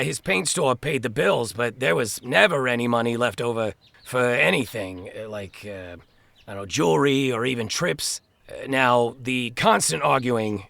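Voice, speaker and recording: A very creaky, forceful man from New Jersey, talking as someone's older brother in a mock documentary. He is plain-spoken and halting, hammering points by repeating them, in a dry, close recording. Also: mid-range voice, no echo. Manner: halting, emphatic, repeats himself for stress.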